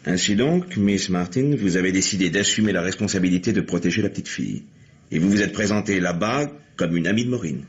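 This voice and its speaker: An actor playing a steady, commanding police lieutenant with a measured delivery in an old-fashioned French radio mystery. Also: male voice, deep voice, slightly rough. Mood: stern. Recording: dull, noisy old radio recording.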